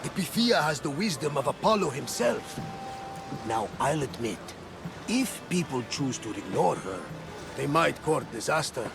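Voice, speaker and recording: A loyal older sea captain in a video game scene. His gravelly voice sits a little high, and he advises with pious good humour over background music. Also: big pitch swings, measured pace, exaggerated voice. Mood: earnest, upbeat. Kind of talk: video game cutscene acting.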